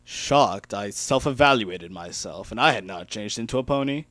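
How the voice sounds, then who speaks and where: A man's voice: lowish voice, lively intonation, normal speed, a bit bright, no room sound. Man narrating a story, dry close sound. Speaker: amateur fan-fiction reader. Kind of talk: first-person dramatic story narration.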